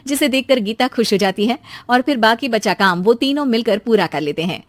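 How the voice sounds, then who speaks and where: A woman's voice: on the high side, expressive, a little fast, dry recording. A woman narrating a scripted cartoon story as its storyteller.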